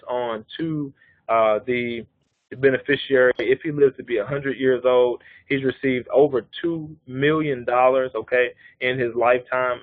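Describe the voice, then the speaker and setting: Thirties male presenter, mid-pitched, patient step-by-step explainer, conference-call phone sound. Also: no room sound. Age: 30s. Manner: explains step by step, often checking in with "okay". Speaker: life insurance presenter. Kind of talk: live conference-call walkthrough. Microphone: phone or call line. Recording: narrow phone-line audio.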